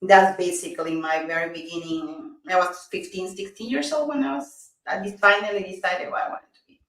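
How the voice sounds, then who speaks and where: A woman's voice: on the low side, brisk, fairly bright tone, far from the mic. A Peruvian woman in her thirties speaks earnestly with a Spanish accent, restarting her phrases as she goes. She sits in a small office on a video call. Her voice sounds roomy and set back from the mic. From Peru. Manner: earnest, many restarts and fillers. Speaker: dentist, guest. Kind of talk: casual interview chat. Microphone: video call webcam.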